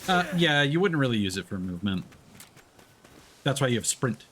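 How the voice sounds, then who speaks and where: A man's voice: medium pitch, sing-song, moderate pace, fairly bright tone, slight background noise. A man doing casual live game commentary, thinking aloud into a streaming mic with game music behind him. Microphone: streaming microphone with headphones.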